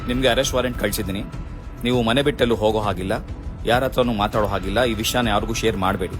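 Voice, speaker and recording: A man's voice: fairly low pitch, fast, over music, close to an everyday voice. A man playing an intimidating fake CBI officer, a stern scam caller giving orders in an awareness skit. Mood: stern, threatening. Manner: stern, commanding.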